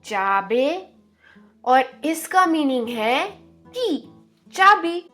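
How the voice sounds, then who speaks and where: A woman from India with a high voice gives an online Spanish lesson. She speaks very slowly and stretches each word as she drills it. Soft music plays faintly behind her. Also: very animated. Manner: slow, drawn-out pronunciation drills, repeating words. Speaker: Spanish teacher.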